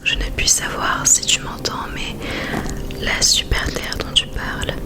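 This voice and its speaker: A woman playing an awestruck space mission scientist in a whispered ASMR roleplay, speaking in a slow, hushed, solemn and poetic way. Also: natural voice. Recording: music behind the voice.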